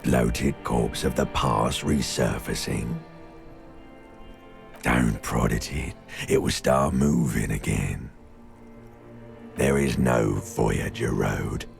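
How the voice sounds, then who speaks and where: A man voicing an ancient reptilian brain in a game, a nihilistic, mocking growl that drags out its words with menace. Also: heavily acted. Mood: bleak, scornful. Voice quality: extremely deep, croaking, gravelly growl. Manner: slow, drawn-out, ominous. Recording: music behind the voice.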